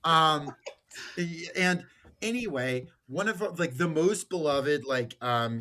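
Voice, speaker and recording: Male podcast host, average pitch, loose slangy delivery for informal political chat and stories. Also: pushed and bright. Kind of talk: casual political podcast talk with anecdotes.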